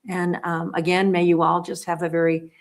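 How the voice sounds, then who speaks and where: A woman's voice: fairly low pitch, level tone, normal speed. A woman serving as school board president, giving encouraging thanks at a board meeting. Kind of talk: formal remarks at a public meeting.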